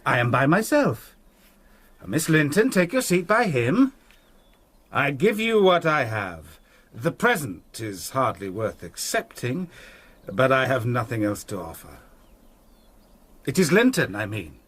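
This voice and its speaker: An actor playing a menacing, domineering master of a moorland house, mocking and quick to shout. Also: male voice, medium pitch, very animated, slightly rough, full character voice. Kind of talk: radio drama acting.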